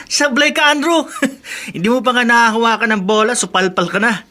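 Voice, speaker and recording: A man's voice: very high voice, normal speed, bright, forceful tone, soft background music. A man playing a teasing, jokey young best friend in a radio drama. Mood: teasing, amused. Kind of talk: Tagalog radio drama acting.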